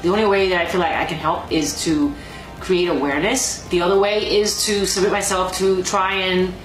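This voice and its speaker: Woman, 40s, low calm voice, roomy echo, faint music. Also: moderate pace. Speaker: contest entrant. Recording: echoey room.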